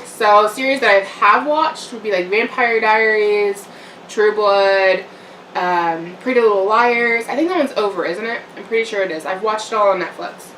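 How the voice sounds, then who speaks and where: A woman's voice: medium pitch, moderate pace, slight background noise. Chatty young woman in an echoey room. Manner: rambling, chatty, with fillers and soft laughs. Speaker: vlogger. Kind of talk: casual eating-show chat. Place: home room, eating on camera. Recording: echoey room, mic a bit far off.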